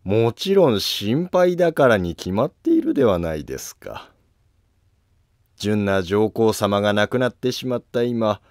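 Man acting scheming nobleman, historical cartoon, mock-courteous, needling, slow, dry sound. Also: lowish voice, darkish tone, dry recording. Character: sly, smugly polite scheming court nobleman. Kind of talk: Japanese historical animated drama acting.

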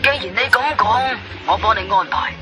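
A slick Cantonese go-between in a radio drama. His voice is high for a man, and he presses his offer smoothly and persuasively over clearly audible music. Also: lively intonation, normal speed. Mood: pressing. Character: slick, persuasive male middleman offering a bribe.

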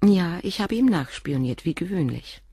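An actress playing a composed middle-aged doctor's wife and former nurse, speaking frankly and in a measured way in a radio drama. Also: female voice, on the low side, expressive, dark tone, husky, dry recording, studio quality. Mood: candid. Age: 40s. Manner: measured, frank, controlled confession. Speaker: witness questioned by the inspector.

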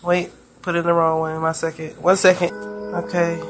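Flat-voiced woman asking for phone help. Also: on the low side, normal speed, music in the background. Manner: flat, frustrated help request. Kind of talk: casual phone screen recording. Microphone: phone camera filming a handheld screen.

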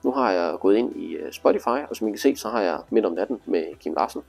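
A man's voice: on the low side, lively intonation, vocal fry, darkish tone, soft background music. A man giving a casual, hands-on tutorial, explaining things informally step by step. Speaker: instructor demonstrating motorcycle display.

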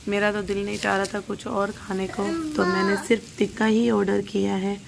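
A woman's voice: mid-range voice, flat delivery, brisk, gravelly. A woman with a Pakistani accent chatting casually as a family vlogger into a phone. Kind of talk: casual vlog chat. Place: inside a car, restaurant and shop. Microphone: phone at arm's length. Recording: loud car and street background noise.

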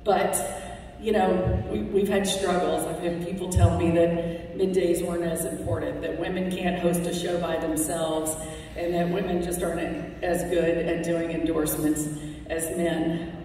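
A woman radio host speaks at a podium, heartfelt with wry asides as she thanks people. Her voice sits at an ordinary pitch and is heard from far off through the audience. A long, booming reverb fills the big banquet hall, with faint room ambience beneath. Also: little pitch movement, measured pace, dark tone, a little hiss or hum. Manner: heartfelt, with wry jokes and lists. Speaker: radio host accepting an award. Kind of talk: acceptance speech. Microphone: podium microphone, recorded from the audience. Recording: echoey hall recorded from far off.

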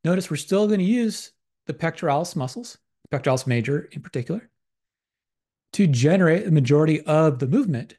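A man's voice: fairly high pitch, expressive, brisk, warm tone. A man talks through a slide lecture in a slightly raised voice. He is methodical and academic, circling back over the same technical terms as he explains. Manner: methodical, repetitive academic phrasing. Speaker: exercise science lecturer.

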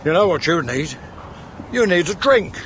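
A man's voice: high-pitched, very animated, moderate pace, noisy background. An actor playing a folksy, teasing old-time countryman in a radio drama, with archaic turns of phrase. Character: cagey, chatty old-time English countryman ghost, gruffly folksy.

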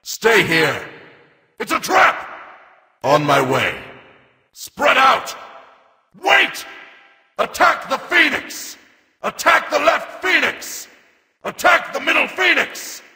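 A battle-hungry mechanical reaper from a video game. The voice is extremely high for a male and very tense, with a gravelly edge. Short commands are barked grimly and forcefully. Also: big pitch swings, slow pace, pauses often, long reverb tail, full character voice. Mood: grim, controlled. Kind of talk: video game character callouts.

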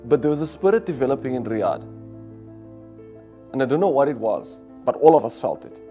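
A man's voice: medium pitch, lively intonation, a little fast, soft background music. A man in his forties with a South African accent, Afrikaans his first language, reflecting in an interview with many fillers. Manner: reflective, warm storytelling with frequent fillers. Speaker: diplomat. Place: living room, indoors. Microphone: lapel.

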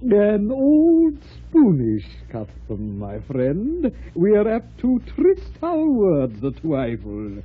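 Swooping, fussy talking soup spoon. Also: male voice, high voice, wildly swooping pitch, slow, few pauses, husky, exaggerated voice. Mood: courtly, fussy. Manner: stammering, twists his words into spoonerisms. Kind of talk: old-time radio comedy acting. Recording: tinny, noisy old radio recording.

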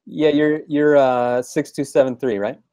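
A man chatting informally and easily as he helps with a software demo on a team video call. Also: fairly high pitch, fairly even intonation, a little slow, smooth, no room sound, studio quality. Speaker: software developer assisting a demo. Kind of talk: casual chat on a team call. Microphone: video call microphone.

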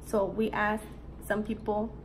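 A sixteen-year-old girl from Arizona, co-hosting a casual Q&A vlog at home, relaxed and giggly as she reads out questions. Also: mid-range voice, unhurried, slight background noise, low-quality recording. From Arizona, USA. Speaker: co-host of a YouTube channel. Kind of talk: casual Q&A chat with a friend. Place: living room at home. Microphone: camera in front of two seated girls.

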